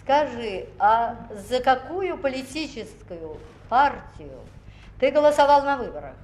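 An actress playing a stern woman commissar in a 1960s film, calm, probing and commanding. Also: on the high side, unhurried. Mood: firm, probing. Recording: roomy old film soundtrack with music.

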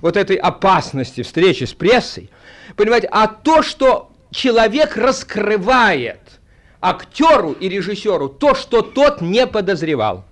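A man speaking to an audience in an anecdotal, theatrical way, with halting, digressive phrasing. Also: high-pitched, very animated, a bit bright. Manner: theatrical storytelling, acting out dialogue. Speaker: theatre colleague giving a reminiscence.

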